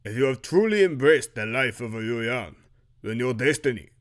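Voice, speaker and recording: A man's voice: medium pitch, lively intonation, normal speed, lightly acted. A man voicing a stern, solemn headmaster in an audio drama, speaking formally and with command. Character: stern, solemn male headmaster of an archer academy.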